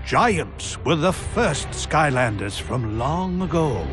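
An actor playing a wise old wizard mentor, narrating a fantasy game in a grand, solemn storytelling manner. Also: male voice, on the high side, sing-song, slow, music in the background.

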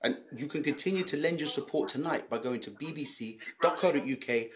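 A man's voice: on the high side, brisk, natural voice. A man playing a chummy, streetwise pantomime servant, chatty and slangy. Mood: confiding.

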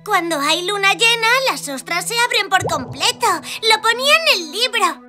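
Very high-pitched baby mermaid. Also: female voice, animated, normal speed, full character voice. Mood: eager. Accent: Castilian Spanish. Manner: childlike, exclamatory. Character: excitable little baby mermaid, sweet and daring. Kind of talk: animated children's cartoon dub. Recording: loud music behind the voice. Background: cartoon music.

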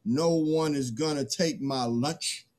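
A middle-aged man playing a cocky, whiny, childish new human in a play reading, with a husky voice. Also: on the high side, slow, darkish tone. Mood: boastful.

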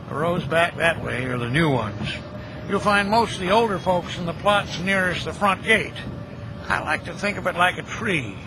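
Kindly older caretaker, high voice, joking, folksy, audio drama, clear background noise. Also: male voice.

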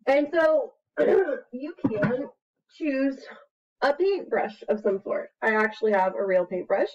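High-voiced American woman, playful teacher. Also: slow pace. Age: 30s to 40s. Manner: playful, expressive, with exclamations and sound words. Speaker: preschool teacher giving an art activity demonstration. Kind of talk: casual instructional chat to camera. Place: small plain room at home, indoors. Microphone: computer webcam mic at about arm's length. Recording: dull, lo-fi webcam sound.

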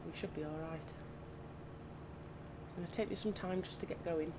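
A woman with a lowish, slightly croaky voice chats easily while playing, musing aloud. Clear background noise hums under her masked-desk-mic recording. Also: moderate pace. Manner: chatty, thinking aloud, relaxed. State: slightly croaky from hay fever. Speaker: gaming channel host. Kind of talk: casual gameplay commentary.

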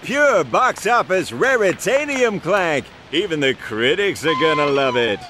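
A man's voice: fairly high pitch, very animated, unhurried, noisy background. A man playing a showy, exuberant film director in a video game, calling out with stretched words.

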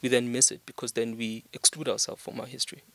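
A man's voice: medium pitch, little pitch movement, fast, vocal fry, fairly bright tone, no room sound. Earnest young South African man. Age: young adult. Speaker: podcast guest. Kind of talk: conversational podcast discussion. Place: small indoor podcast studio. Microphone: microphone on a stand.

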